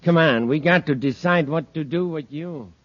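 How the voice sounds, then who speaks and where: Man acting a high-voiced, boastful radio-serial crook. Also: normal speed, lightly acted. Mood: irritated, boastful. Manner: theatrical, boastful. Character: Woody Nord, self-righteous male master thief and gadget inventor. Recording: muffled, hissy vintage radio recording.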